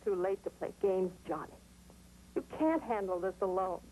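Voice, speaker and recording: An American actress playing a worried, pleading crook's wife in a 1950s television crime drama, on a vintage soundtrack. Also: female voice, mid-range voice, animated, normal speed, mellow, a little hiss or hum, lightly acted, rough recording.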